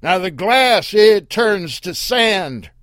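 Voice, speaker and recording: A grizzled old desert hermit with a drawl hollers high and loud across the sand, as a male narrator puts him on. His cackling voice leaps way up in pitch and swings wildly. It sounds close and dry. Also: very animated, takes it slowly, dry recording, heavily acted. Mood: hollering. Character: grizzled old desert hermit man, half-blind, laughing grimly.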